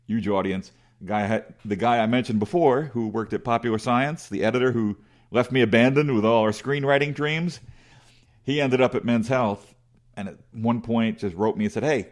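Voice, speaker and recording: A middle-aged man with a big voice, telling rambling, self-deprecating stories as an interview guest with many restarts. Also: lowish voice. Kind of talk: casual conversational storytelling.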